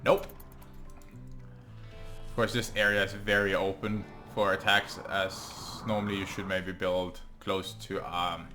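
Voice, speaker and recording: A young man with a Norwegian accent, English not his first language, casually talking through his gameplay and thinking aloud with frequent ums and pauses. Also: deep voice, flat delivery, pushed and bright, soft background music. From Norway. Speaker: gaming channel host. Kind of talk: let's play commentary.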